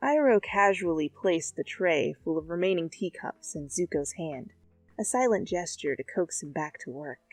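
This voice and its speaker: A woman reading fan fiction aloud in an expressive, comedic style, with dramatic and conversational asides, close to the mic. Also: on the low side, moderate pace, dry recording. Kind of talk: expressive, comedic story narration. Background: faint background music.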